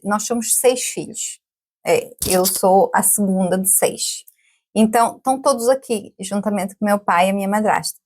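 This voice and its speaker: A woman in her forties, a podcast guest, chatting casually, laughing often and tagging questions onto her sentences. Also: medium pitch. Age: 40s. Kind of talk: casual interview chat.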